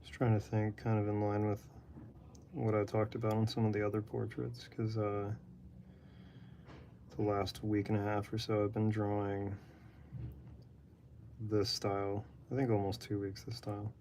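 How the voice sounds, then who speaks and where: Man, monotone drawing-stream talker, gravelly fry, very flat delivery. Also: on the low side, moderate pace, long pauses, a little hiss or hum. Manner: monotone, rambling. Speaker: artist drawing live. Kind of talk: casual chat while drawing. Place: apartment room.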